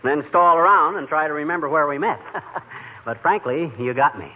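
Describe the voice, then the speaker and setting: A man's voice: on the high side, normal speed, lightly acted. A man with an American accent playing a grumbling, blustering, folksy middle-aged husband in an old radio comedy, with slangy exaggeration. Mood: wisecracking. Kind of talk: 1940s radio comedy acting. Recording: tinny, noisy old-time radio recording.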